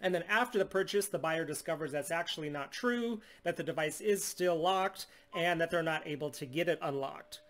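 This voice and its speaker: A man in his thirties from the United States, a steady, salesy business owner explaining a tablet unlock to camera in a small room. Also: on the high side, normal speed, hardly any pauses. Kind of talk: scripted product demonstration. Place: small indoor room at home.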